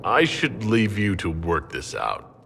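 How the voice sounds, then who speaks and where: Low-voiced, composed leader. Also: male voice, wildly swooping pitch, slow pace, vocal fry, bright, forceful tone, full character voice. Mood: tactful curiosity. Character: composed, tactful male leader of an inquisition. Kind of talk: dramatic video game acting. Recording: long reverb, ambient noise.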